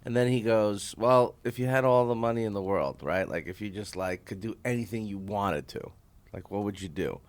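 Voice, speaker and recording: Wry man in his thirties, low voice. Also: normal speed. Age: 30s. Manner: candid, wry, swears freely. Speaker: stand-up comedian guest. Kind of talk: casual chat, storytelling. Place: hotel conference room.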